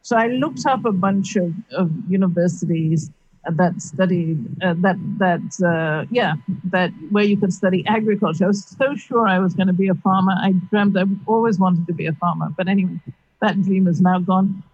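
A middle-aged woman from Kenya, a university teacher, answering interview questions over a video call, thoughtful and halting, with many fillers and frequent laughs. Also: medium pitch, darkish tone, dry recording, muffled recording.

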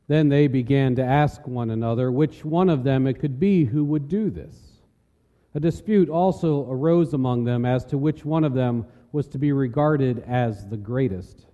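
A man reads scripture as a narrator. His voice sits at a middle pitch and is very soft and dark in tone. A long hall reverb trails his scripted narration. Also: normal speed.

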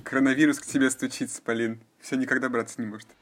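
A man's voice: mid-range voice, steady tone, fast talker, a little hiss or hum. A young man hosting a casual podcast chat, rambling and full of fillers. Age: young adult. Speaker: podcast host.

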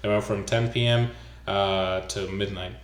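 A man's voice: on the low side, steady tone, unhurried, roomy sound. A man hosting a casual sports podcast, reading news items aloud with loose asides. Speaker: podcast host.

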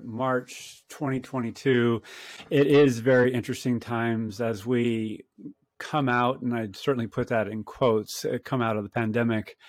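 A man's voice: medium pitch, no echo. A man from California hosting an interview, chatty, with rambling lead-ins before his questions. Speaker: host.

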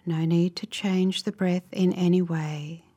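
Female meditation guide, lowish voice, very unhurried and calm, softening into breath at phrase ends. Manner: calm, measured, instructive.

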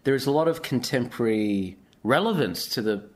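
A man's voice: mid-range voice, lively intonation, a little fast, a little mellow. A man hosting a podcast, introducing guests and chatting with them in an interview style.

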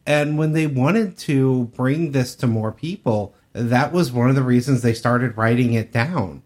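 A man's voice: mid-range voice, unhurried, a little mellow. A man co-hosting a podcast in casual, conversational discussion. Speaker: podcast co-host.

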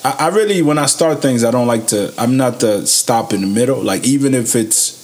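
A young man interviewing a guest in a relaxed, joking way with plenty of slang. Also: medium pitch, a little fast. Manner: laid-back, joking, slangy. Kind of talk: casual interview chat.

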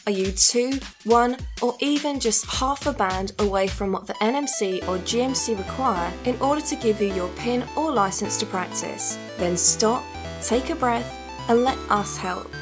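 A woman's voice: on the high side, moderate pace. Woman announcer over music. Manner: polished persuasive delivery. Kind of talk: scripted promo read.

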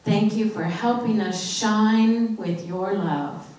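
A woman's voice: mid-range voice, slow, a little mellow. A woman leading a children's message in church, talking informally and simply to children. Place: church, indoors. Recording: distant, very echoey, noisy church recording.

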